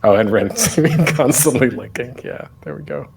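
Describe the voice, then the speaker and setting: A man's voice: medium pitch, measured pace, gravelly, background noise. A man presenting a casual online panel as a podcaster, speaking off the cuff in a rambling, self-deprecating, jokey way.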